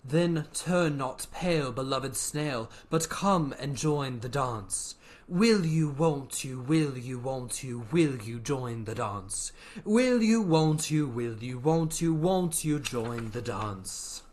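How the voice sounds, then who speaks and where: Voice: mid-range voice, animated, slow pace, a little mellow. A performer voicing a solemn, melancholy old turtle creature in a theatrical storybook reading. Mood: slow, mournful singsong. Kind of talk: theatrical storybook reading with slow sung verse.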